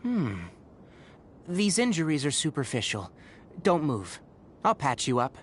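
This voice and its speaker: A precise, higher-pitched young male scholar. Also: sing-song, a little hiss or hum. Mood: brisk, calm. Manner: precise, explanatory, unhurried. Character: calm young male scholar inventor, clinical, matter-of-fact. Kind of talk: video game voice acting.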